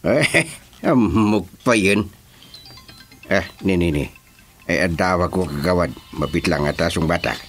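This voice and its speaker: A male actor with an Ilocano accent playing an excitable, joking village senior in a rural comedy radio drama. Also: low-pitched, measured pace, long pauses, soft background music. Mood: busy, matter-of-fact. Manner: teasing, animated, colloquial.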